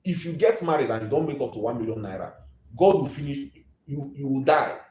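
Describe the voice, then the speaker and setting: A man's voice: mid-range voice, expressive, brisk, darkish tone, reverberant. A Nigerian man in his twenties hosting a live video, ranting emphatically and preachily and repeating his points. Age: 20s.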